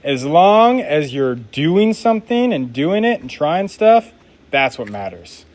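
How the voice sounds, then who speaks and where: A man's voice: fairly high pitch, big pitch swings, slow, darkish tone. A man making knives during a live stream, chatting casually and reading viewer comments aloud in an upbeat, laid-back way.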